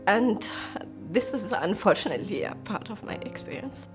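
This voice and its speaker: A woman with a mid-range voice speaks candidly and from the heart. Soft music runs faintly behind her in this documentary interview. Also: mid-range voice, animated, moderate pace. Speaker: climber with a rare disease.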